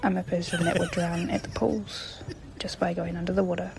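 A woman, a caller telling a short anecdote slowly and with hesitant fillers. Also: on the low side, little pitch movement, vocal fry, music in the background. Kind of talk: voice message anecdote.

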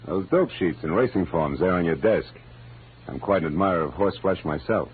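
A man's voice: low voice, lively intonation, normal speed, breathy edge, exaggerated voice. An American man playing a wry, world-weary private detective in a classic radio noir drama, with clipped delivery. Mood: glib. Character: wry, hardboiled male private detective narrating his case. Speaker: lead actor. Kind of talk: 1940s-50s American radio noir acting. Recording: muffled old radio recording with music.

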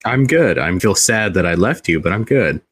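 A man's voice: low-pitched, lively intonation, normal speed, a bit bright, husky. A man chatting casually as a co-host on a podcast. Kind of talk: casual chat.

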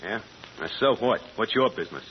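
A man's voice: on the low side, animated, a little fast, natural voice. A man acting as a distrustful, commanding gang boss in a vintage radio drama, with clipped, slangy talk. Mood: curt. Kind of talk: 1940s radio gangster acting. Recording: muffled old radio broadcast, faint noise.

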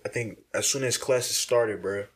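A young man from Nigeria talks in an easy, joking way, full of slang and quick laughs. His voice sits at an ordinary middle pitch for a man. He sounds like a college student riffing with a friend on a podcast. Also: breathy edge. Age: young adult. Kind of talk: casual chat.

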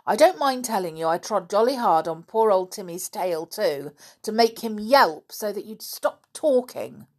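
Woman playing a blunt, bossy schoolboy, lively pitch. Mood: scolding. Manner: brisk scolding, old-fashioned British schoolboy slang. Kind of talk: children's storybook character reading.

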